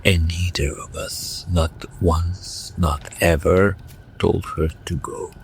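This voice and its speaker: Guy voicing a brooding werewolf, deep gravelly whisper, slow and heavy. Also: steady tone, slight background noise, heavily acted. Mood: remorseful. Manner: low hushed whispering, slow. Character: brooding young male werewolf, blunt. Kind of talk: audio drama, whispered ASMR.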